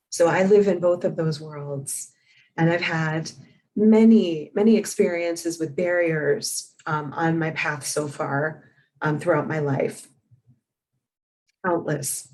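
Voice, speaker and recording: A woman giving live spoken interpretation for a deaf panelist, in a measured, steady way. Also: fairly low pitch, distant mic, low-quality recording. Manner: measured, steady, spells names letter by letter. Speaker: sign language interpreter voicing a deaf panelist.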